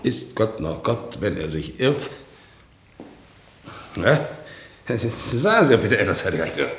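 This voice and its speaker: An actor playing a worn, bitter, defiant old war veteran in a German radio play, speaking in a brooding, deliberate way. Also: male voice, on the low side, very animated, a little hiss or hum, full character voice. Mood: bitter, questioning. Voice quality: gravelly, husky. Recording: 1960s radio play recording.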